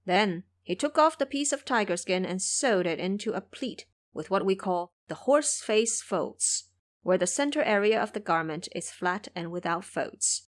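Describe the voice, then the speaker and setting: Expressive woman storyteller, lively intonation. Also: medium pitch. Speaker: narrator. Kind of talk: scripted audio drama storytelling.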